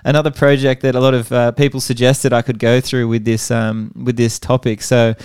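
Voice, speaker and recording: A man's voice: mid-range voice, flat delivery, fairly quick, a little mellow, smooth, dry recording, studio quality. A man hosting an educational podcast interview, talking in a rambling, friendly way and often restarting his sentences.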